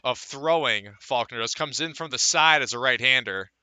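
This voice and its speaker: A man's voice: mid-range voice, normal speed, pushed and bright, no room sound. A man calling live baseball play-by-play for radio. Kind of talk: live radio sports commentary.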